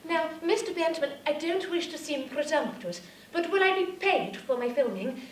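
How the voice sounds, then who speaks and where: A woman's voice: high voice, lively intonation, moderate pace. A woman playing an earnest, deferential assistant in a comic radio-theatre parody, speaking in a formal, theatrical way. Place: arts centre stage, live performance. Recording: roomy live stage, very noisy, distant.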